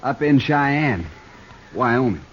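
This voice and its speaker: An actor playing a dim-witted, touchy outlaw sidekick with excitable, whiny rustic American talk in a radio Western. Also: male voice, mid-range voice, slow. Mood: simple, eager. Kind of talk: 1950s radio Western acting. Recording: muffled, very noisy old radio recording.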